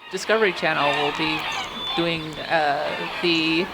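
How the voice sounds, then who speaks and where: A woman's voice: sits low, steady tone, a little slow, very noisy. A woman giving casual, playfully joking commentary on video game cutscenes as a critic and co-host. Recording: loud music behind the voice. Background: video game music.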